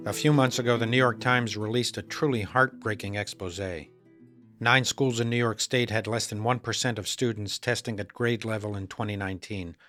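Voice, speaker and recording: A man's voice: fairly low pitch, steady tone, normal speed, fairly bright tone, faint music behind. A man, a rabbi hosting an interview podcast with scripted introductions and questions.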